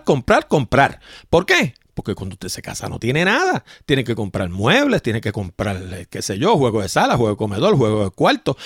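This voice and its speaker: A man's voice: mid-range voice, fairly bright tone, dry recording, studio quality. A man with a Puerto Rican accent hosting a solo opinion show, talking straight to his listeners and often asking them rhetorical questions. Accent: Puerto Rican Spanish. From Puerto Rico. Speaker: podcast host.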